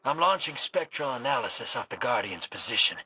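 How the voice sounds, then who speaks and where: An actor playing a brash, sarcastic rogue in a video game, irreverent and quick to irritation. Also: male voice, medium pitch, very animated, sharp tone, husky, full character voice, rough recording. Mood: matter-of-fact. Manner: wisecracking, irreverent. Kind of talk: video game cutscene voice acting.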